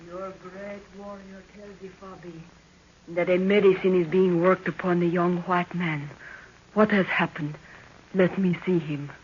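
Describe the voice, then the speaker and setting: A woman's voice: lowish voice, fairly even intonation, unhurried, long pauses, close to an everyday voice. An actress playing a proud, commanding young African witch woman in a 1930s radio adventure, with solemn, stilted, formal speech. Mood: grave, probing. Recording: muffled, noisy 1930s radio recording.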